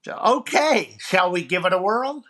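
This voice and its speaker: A man's voice: high-pitched, expressive, unhurried, bright, forceful tone, full character voice. Man playing a showy jive-talking radio disc jockey. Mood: upbeat, showy. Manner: showy, punchy announcer patter. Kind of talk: 1940s radio comedy acting.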